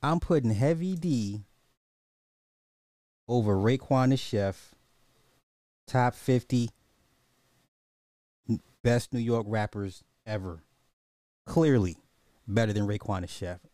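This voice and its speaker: A man's voice: medium pitch, takes it slowly, pauses often, mellow, no echo, studio quality. A man hosting a casual, opinionated commentary podcast in slangy, informal speech, thinking aloud and often repeating his own phrases. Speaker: podcast host.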